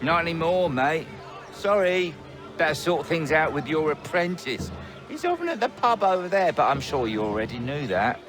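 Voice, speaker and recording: A man's voice: fairly high pitch, sing-song, normal speed, music in the background. A man playing a brusque, unbothered shopkeeper in a video game cutscene, casual and curt. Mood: dismissive.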